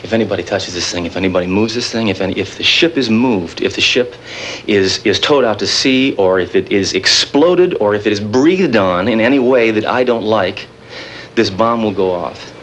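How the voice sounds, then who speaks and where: A male actor plays a defiant bomb-builder in a realistic TV drama. His voice sits on the low side and he explains in stumbling, rambling bursts, nervous yet threatening. A light layer of noise covers the 1980s live-feed sound. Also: a bit bright, lightly acted. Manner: halting, rambling, stop-start explaining. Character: nervous but defiant male bomb-builder terrorist with technical know-how. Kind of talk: naturalistic TV drama acting.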